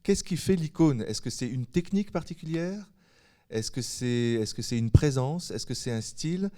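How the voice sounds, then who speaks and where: A man's voice: medium pitch, expressive, normal speed, warm tone. A man hosting a panel discussion, putting thoughtful questions and rephrasing them as he goes. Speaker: host and moderator of a panel discussion.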